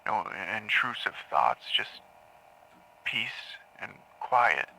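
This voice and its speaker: A man playing a troubled patient in an audio drama, haltingly confessing dark memories to a therapist, stammering and repeating words. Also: fairly low pitch, lively intonation, vocal fry, slight background noise, exaggerated voice, rough recording. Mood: subdued, hesitant. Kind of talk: audio drama acting, intimate confessional monologue.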